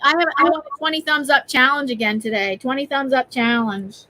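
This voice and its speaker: A woman's voice: high voice, brisk, sharp tone, rough recording. A woman hosting a live art stream, chatting casually and reading out and greeting viewers' comments.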